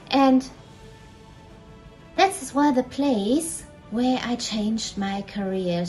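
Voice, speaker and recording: A middle-aged woman tells her story in a measured, personal tone, with a slightly high voice. Faint music plays softly underneath. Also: rough recording. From Germany. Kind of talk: recorded self-introduction.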